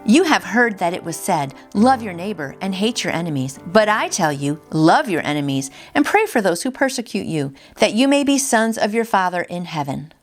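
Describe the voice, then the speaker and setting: A woman's voice: medium pitch, sing-song, soft background music. A woman hosting a morning devotional, reading a passage and adding chatty asides in her own words. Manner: warm, chatty asides, slows for scripture. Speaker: devotional host. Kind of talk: reading a daily devotional with casual commentary.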